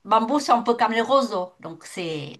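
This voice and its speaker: A woman's voice: a little high, animated, moderate pace, fairly bright tone. A retired woman from northern Vietnam, speaking French with a Vietnamese accent, who talks as a guest in an online debate, with many fillers and restarts. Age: older.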